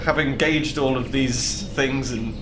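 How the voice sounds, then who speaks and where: A man's voice: medium pitch, fairly even intonation, a little slow, background noise. A man chatting casually as a player in a tabletop role-playing game. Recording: music playing behind the voice. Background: loud music.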